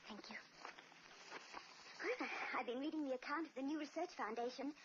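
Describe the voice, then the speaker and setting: A woman's voice: sits high, normal speed, muffled recording, lightly acted. An actress playing a tender, self-sacrificing invalid wife who coaxes her husband affectionately, in a 1940s radio play. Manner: affectionate, coaxing. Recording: hissy old radio recording.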